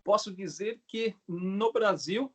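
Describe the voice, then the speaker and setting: A middle-aged Brazilian man with a slightly high voice talks casually as an interviewed craftsman. He explains things haltingly, restarting and adding little tag questions as he goes. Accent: Brazilian Portuguese. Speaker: craftsman, bow maker being interviewed. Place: video call.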